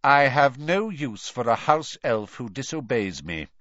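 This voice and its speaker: Audiobook role, acted by a man: severe older male official, cold, deliberate, clipped, no pity, swooping emphasis. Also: medium pitch, big pitch swings, fairly bright tone, no room sound, muffled recording. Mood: cold disdain. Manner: cold, slow, clipped authority.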